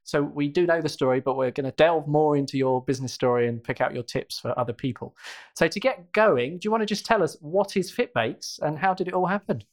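A man's voice: on the high side, a little fast. A man hosting a podcast interview, upbeat and encouraging with his guest. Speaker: podcast host.

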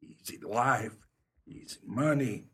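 A man's voice: medium pitch, slow pace, a bit bright, husky. A man playing a flashy, cocky gambler in a 1930s pulp radio play, swaggering through slangy talk. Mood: smug, easygoing. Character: Maxie, flashy cocky big-spending gambler sweet on women.

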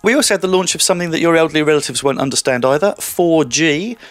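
A man's voice: a little high, fast talker, no echo, faint music behind. Breezy man presenting a tech show. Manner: breezy, teasing banter with co-host. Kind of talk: scripted year-in-review presenting.

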